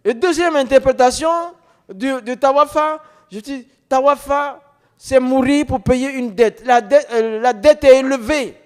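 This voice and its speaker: A man's voice: very high voice, measured pace, smooth. A middle-aged man giving an emphatic testimony as a preacher, repeating words for stress. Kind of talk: sermon testimony. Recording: long reverb of a church hall.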